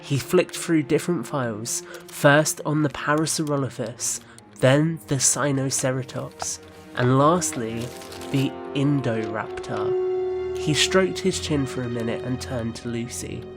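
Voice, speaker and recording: A man's voice: mid-range voice, a little slow, music in the background. A man narrating an audio drama in a scripted storytelling style. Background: musical score.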